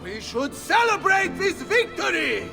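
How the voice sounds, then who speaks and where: Spirited Venetian woman, Italian accent, very high voice, lively intonation, rousing, game drama, music behind. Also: measured pace, vocal fry, full character voice. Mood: jubilant. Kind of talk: video game voice acting.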